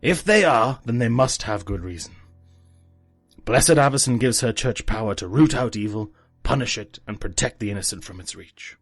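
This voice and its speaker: A man's voice: mid-range voice, animated, normal speed, faint music behind. A man playing a stern, devout and loyal church soldier in a fantasy audio drama, solemn and formal. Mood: measured, defensive.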